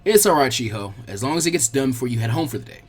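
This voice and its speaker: A man plays a middle-aged head librarian in an audiobook. He speaks in a calm, measured way, mildly chiding yet gentle, with an expressive range. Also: medium pitch, breathy edge, pitched below the performer's own voice. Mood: kindly. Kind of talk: fan fiction audiobook character voice.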